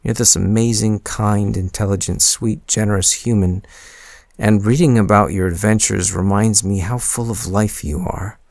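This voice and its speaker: Low-voiced, bashful young man in love, acted by a man. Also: fairly even intonation, moderate pace, vocal fry, a little mellow, no room sound. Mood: tender, earnest. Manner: hesitant, stammering, bashful. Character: shy, smitten young man confessing to his crush. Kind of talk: intimate boyfriend roleplay audio acting.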